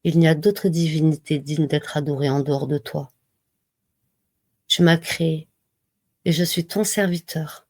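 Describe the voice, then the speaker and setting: Mellow, low-voiced woman reciting slowly. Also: fairly even intonation, dry recording. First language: not Arabic. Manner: slow, measured recitation with pauses. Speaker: religious reminder speaker. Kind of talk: slow devotional reading and reminder.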